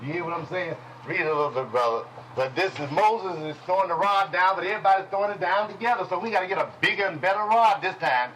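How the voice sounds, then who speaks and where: A man teaching a congregation in a preaching style, folksy and call-and-response, with speech, dropping at times to low murmurs. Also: quick pace, hardly any pauses, fairly bright tone, a little hiss or hum, low-quality recording. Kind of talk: preaching Bible lesson. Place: congregation hall.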